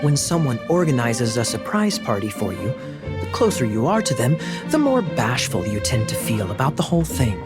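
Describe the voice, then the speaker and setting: A man voicing a sly, charming young knight in a video game, smooth and wryly playful, over game music. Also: mid-range voice, big pitch swings, moderate pace, close to an everyday voice.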